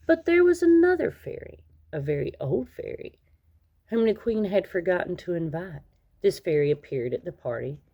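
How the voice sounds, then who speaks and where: Woman reading a fairy tale out loud for kids, lowish voice, expressive, stresses key words. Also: moderate pace, highs cut off. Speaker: storybook reader. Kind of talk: read-aloud children's story narration.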